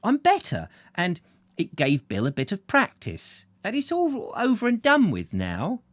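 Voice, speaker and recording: A man's voice: sits high, wildly swooping pitch, unhurried, no room sound, pitched above the performer's own voice, heavily acted. A man doing a meek stammering fellow. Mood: nervous. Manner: stammering, apologetic. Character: meek nervous middle-aged working man.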